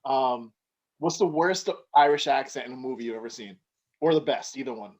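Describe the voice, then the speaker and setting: A man's voice: mid-range voice, moderate pace, darkish tone, poor audio. A man hosting a podcast, chatting casually and easily with a guest. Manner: laid-back and friendly, peppered with "man" and "dude". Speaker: podcast host. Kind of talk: casual interview chat.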